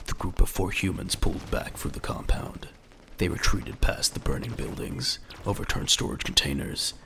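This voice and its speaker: Deep, gravelly male dramatic narrator. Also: background noise. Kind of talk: scripted dramatic story narration.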